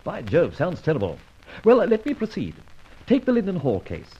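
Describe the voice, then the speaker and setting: Debonair, flippant, husky gentleman adventurer. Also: on the high side, huge pitch swings, normal speed, full character voice. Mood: bantering, confident. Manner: urbane, mannered, playfully wordy phrasing. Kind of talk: old radio drama acting. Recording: muffled old radio transcription recording.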